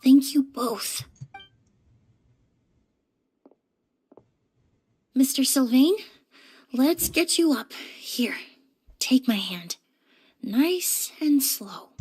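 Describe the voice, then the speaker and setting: An actress playing a composed, courteous young expert in a video game, speaking in a measured, precise and gentle way. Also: female voice, sits high, expressive, slightly rough, full character voice. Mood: soothing. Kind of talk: game voice acting.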